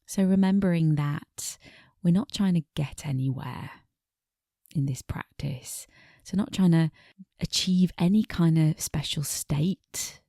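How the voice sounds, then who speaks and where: Woman, meditation teacher, creaky dark voice, soft breathy phrase endings, gentle pacing with pauses, guided body scan. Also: deep voice, no echo, studio quality. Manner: gentle, unhurried, pausing between short phrases.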